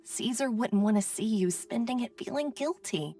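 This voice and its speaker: A kindly game companion with a slightly high, expressive voice. She sounds soft and reassuring, a bit distant with music underneath. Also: moderate pace. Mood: consoling. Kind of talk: video game voice acting.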